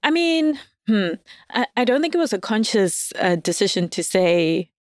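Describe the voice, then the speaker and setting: A woman's voice: medium pitch, sing-song, moderate pace, a little mellow, no room sound. Candid laughing woman guest, dry close mic. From Zimbabwe. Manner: laughs often, candid, hedging. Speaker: guest writer. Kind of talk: casual podcast chat.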